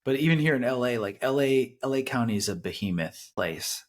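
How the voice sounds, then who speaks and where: A man's voice: mid-range voice, lively intonation, a little fast. A man hosting an interview podcast, asking questions casually with lots of "like" fillers. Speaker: podcast host. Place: Los Angeles.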